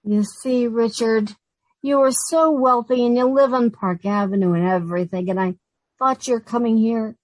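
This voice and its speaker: A long-suffering, status-conscious young woman played by an actress in a radio sitcom. She is emphatic and sensible, with a fairly high voice recorded close and dry. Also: steady tone, moderate pace, a little mellow, dry recording, lightly acted, rough recording. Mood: apologetic, embarrassed. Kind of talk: old-time radio sitcom acting.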